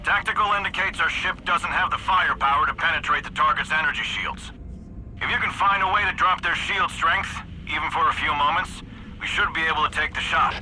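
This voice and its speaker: Bright, forceful military radio operator. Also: male voice, a little high, fairly quick, a little hiss or hum, low-quality recording. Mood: businesslike. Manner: formal military radio procedure. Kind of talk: cinematic game acting.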